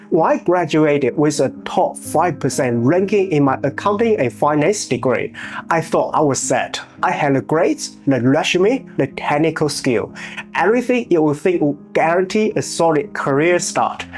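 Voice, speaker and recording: Man with a Chinese accent, motivational narration. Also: on the high side. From China. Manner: earnest, measured delivery with small grammar slips. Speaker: channel host.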